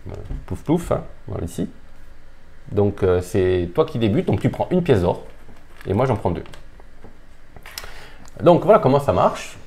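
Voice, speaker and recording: Deep-voiced man casually explaining a board game. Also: very animated, a little slow, gravelly, pauses often, dark tone. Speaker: board game presenter and player. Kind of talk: casual unscripted game demonstration. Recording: background noise, room ambience.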